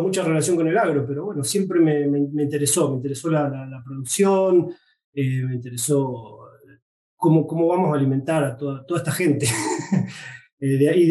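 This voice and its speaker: A man's voice: fairly high pitch, mellow, far from the mic. A man in his forties with an Argentine Spanish accent, a guest veterinary pathologist who answers hesitantly, repeating words and using many fillers. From Uruguay, raised in Argentina. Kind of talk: interview answers. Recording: echoey room.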